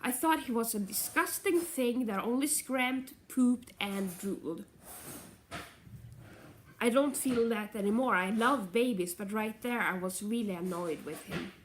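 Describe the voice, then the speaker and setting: A woman's voice: fairly high pitch, measured pace, a little hiss or hum, low-quality recording. Chatty nasal woman vlogging. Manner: chatty, meandering, self-correcting. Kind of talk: casual rambling vlog chat.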